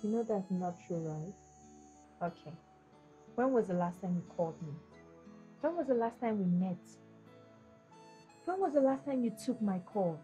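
A woman plays a young girlfriend who will not let a suspicion go. Her voice has a mellow, darker tone. She presses with repeated questions, with faint music behind her. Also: mid-range voice, lively intonation, moderate pace, pauses often, natural voice. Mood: pleading.

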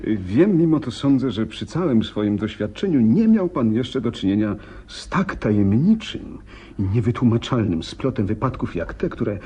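An actor playing a polite, troubled gentleman client in a radio drama, speaking in a husky, hesitant, earnest way. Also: mid-range voice, sing-song, moderate pace.

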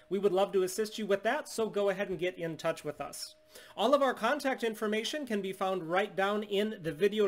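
A man in his thirties from the United States, a steady, salesy business owner explaining a tablet unlock to camera in a small room. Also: high voice. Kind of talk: scripted product demonstration. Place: small indoor room at home.

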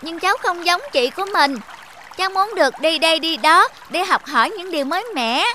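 A woman voicing a restless, cheeky young stream in a cartoon fable, lively and childlike. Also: extremely high pitch, fairly quick, slight background noise, exaggerated voice. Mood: eager.

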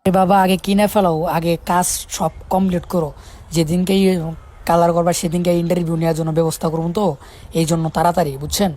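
A man's voice: a little high, flat delivery, fast talker, warm tone. A man with a Bangladeshi accent talking casually in an insistent, persuading way, often repeating his appeals. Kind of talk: casual conversation.

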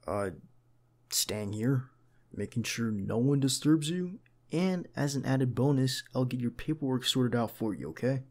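A protective male bodyguard, acted by a man for an ASMR roleplay, with a mid-pitched voice. He is earnest and caring, speaking in a conversational, gently insistent way with hesitant pauses. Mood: reassuring. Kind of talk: ASMR roleplay acting, one side of a dialogue.

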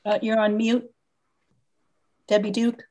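An older woman chairing a town council public hearing over a video call from her home, brisk and procedural, laying out her answers point by point. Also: a little high, level tone, warm tone. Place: home dining room indoors. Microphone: laptop webcam on a video call.

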